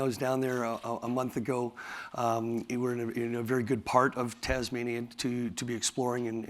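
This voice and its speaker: A man with a raspy voice, tired from talking all day, presenting his company at a conference in a halting way, with many fillers and restarts. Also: medium pitch. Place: conference hall.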